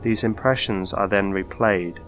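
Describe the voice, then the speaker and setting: A man narrating a scripted ghost story in documentary style, with measured, formal delivery. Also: fairly low pitch, steady tone, fairly bright tone, soft background music, poor audio.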